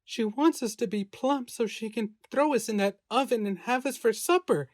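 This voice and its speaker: Man doing a high-pitched, worried little girl. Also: moderate pace, no room sound, studio quality. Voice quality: high falsetto. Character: worried, outspoken little girl in a fairy tale. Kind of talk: storybook character voice.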